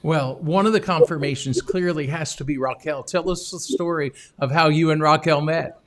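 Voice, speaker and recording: A man's voice: on the high side, normal speed, a bit bright. A man hosting an online missions interview, upbeat and speaking directly to the viewers. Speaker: host.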